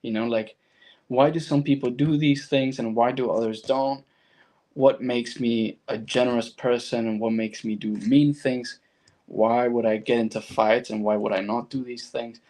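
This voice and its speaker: A man's voice: medium pitch, steady tone, normal speed, darkish tone. A man from Argentina with a Latin American accent and Spanish as his first language, speaking as a guest and telling his personal story reflectively in conversation.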